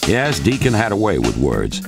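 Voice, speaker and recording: A man's voice: low-pitched, wildly swooping pitch, normal speed, gravelly. A man reading scripted narration for a sports documentary, as its narrator. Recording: music bed under narration.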